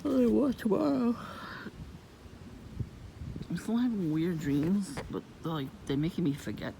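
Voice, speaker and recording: A woman with a low voice, vlogging in a soft-spoken, rambling and suspicious way outdoors near her home. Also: measured pace, gravelly. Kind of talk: casual rambling monologue. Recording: noisy outdoor ambience.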